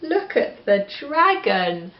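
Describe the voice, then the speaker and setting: A woman with an English accent reading a children's story aloud in an expressive style. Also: a little high, takes it slowly. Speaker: storybook reader. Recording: echoey room, slightly dull sound.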